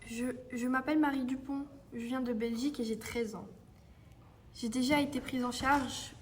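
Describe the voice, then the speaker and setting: A woman's voice: on the high side, far from the mic, background noise, natural voice. A female performer playing a chatty, apologetic thirteen-year-old girl survivor in a French audio drama.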